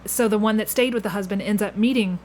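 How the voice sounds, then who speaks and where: A woman's voice: mid-range voice, quick pace, darkish tone. Chatty woman podcast host over background noise. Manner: chatty, laughs readily. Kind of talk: casual conversational interview. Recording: clearly audible background room noise.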